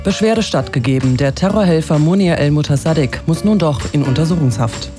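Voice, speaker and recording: A man's voice: fairly high pitch, fairly quick, music in the background. A man with a standard German accent from Germany, presenting scripted radio news.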